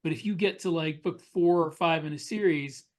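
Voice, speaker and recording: A man's voice: on the high side, normal speed. A man co-hosting a podcast, talking casually in a panel discussion. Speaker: podcast co-host.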